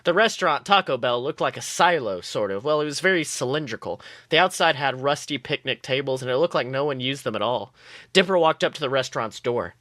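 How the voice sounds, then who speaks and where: A man hosting a show, reading comic fan fiction aloud in an expressive, playful storytelling way. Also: medium pitch, fairly quick, dry recording. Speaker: podcast host.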